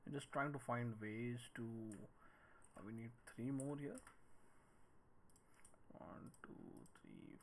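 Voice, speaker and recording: A man in his thirties giving a casual software tutorial at his computer, thinking aloud and muttering counts to himself as he works. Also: mid-range voice, slow pace, vocal fry, pauses often, slight background noise. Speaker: 3D modelling tutorial host.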